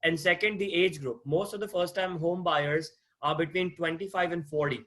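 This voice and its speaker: A man in his thirties with a non-native English accent lectures an online class on a video call. His voice sits a little high, and his talk stays conversational. He often restarts phrases and puts questions to the students. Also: steady tone, moderate pace, a bit bright. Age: 30s. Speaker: guest lecturer, mortgage agent.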